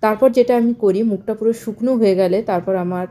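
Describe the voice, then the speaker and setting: A woman's voice: medium pitch, steady tone, fast, warm tone, a little hiss or hum. A woman with a Bengali accent talking casually to her viewers in a chatty, explanatory vlog style, mixing in English words. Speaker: vlogger.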